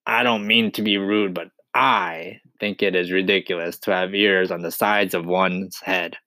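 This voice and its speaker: A man's voice: low voice, steady tone, measured pace, pushed and bright, no room sound, voice pushed down. A man voicing a rude male centipede in a storybook, a brash, mocking tease.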